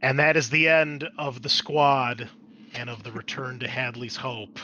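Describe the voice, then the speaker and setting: A man's voice: medium pitch, little pitch movement, a little slow, sharp tone, lo-fi sound, soft background music. A man narrating a tabletop roleplaying game as game master, moving between dramatic scene-setting and casual asides.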